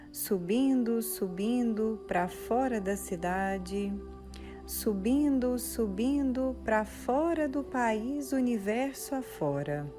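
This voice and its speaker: Slow, bright-voiced Brazilian woman guiding meditation. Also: mid-range voice. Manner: soothing, gently instructing in short pause-separated phrases. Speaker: guided meditation leader. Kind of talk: guided meditation. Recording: soft background music. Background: soft music.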